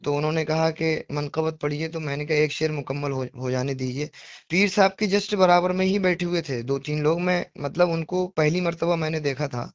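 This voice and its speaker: Apologetic man explaining himself, higher-pitched voice. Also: steady tone, brisk, no echo. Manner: apologetic, insistent self-justifying, repeats himself and asks rhetorical questions. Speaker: devotional poetry reciter on a phone call. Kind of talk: earnest explanatory phone conversation. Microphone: phone call. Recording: dull lo-fi recorded phone call.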